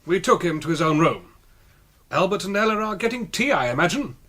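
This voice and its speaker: Smooth posh english gent, faintly menacing, polite n teasing, voice on the high side, very lively radio drama. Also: male voice, brisk, exaggerated voice. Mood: urbane, teasing. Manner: urbane, polite, drily teasing. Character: suave, faintly menacing English gentleman with a gun. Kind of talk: British radio drama acting.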